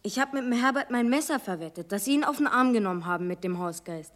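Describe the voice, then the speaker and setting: A woman's voice: a little high, expressive, natural voice. A woman playing a cheeky, skeptical eleven-year-old boy in a radio play, with a Bavarian accent and a cocky, argumentative manner. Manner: cocky, quick to argue.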